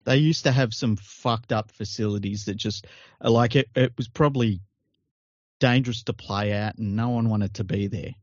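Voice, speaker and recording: A man from Western Sydney with an Australian accent, co-hosting a casual sports podcast, blunt and quick to swear and laugh at his own jokes. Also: lowish voice, no room sound, highs cut off. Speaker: podcast co-host.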